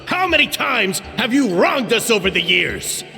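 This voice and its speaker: A man's voice: sits high, very animated, normal speed, creaky, bright, forceful tone, exaggerated voice. A man voicing an aggrieved, vengeful villain in a video game, confrontational in manner. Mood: bitter, accusing. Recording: long reverb under music.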